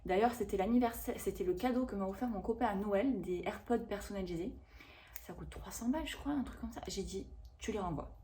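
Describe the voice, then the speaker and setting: A woman in her thirties chatting casually to camera about a shopping haul, rambling and expressive with lots of fillers. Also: medium pitch, brisk, slight background noise. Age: 30s. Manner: chatty and rambling, with frequent fillers and self-corrections. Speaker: vlogger reviewing a shopping haul.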